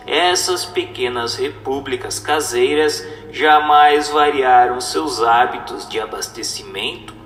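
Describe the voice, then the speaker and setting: A man playing a solemn spirit teacher in a radio drama, lecturing humankind in grave, oratorical, deliberate phrases. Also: fairly high pitch, fairly bright tone. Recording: echoey hall reverb, music behind.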